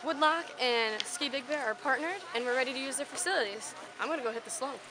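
A young woman hosting an upbeat promotional vlog outdoors at a ski area, talking in a bubbly, excited way. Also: high voice, fast talker, background noise. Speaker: resort video host.

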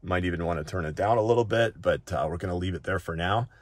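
A man's voice: deep voice, fast, no echo. A man giving a casual, unscripted tech walk-through in an informal way, often checking in with the listener as he goes. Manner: informal, often ends points with a checking "right?". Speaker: tech reviewer presenting a settings walk-through.

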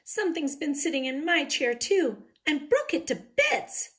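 A woman voicing a small excitable boy bear cub in a storybook reading, with a squeaky high voice. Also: measured pace, exaggerated voice. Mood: excited.

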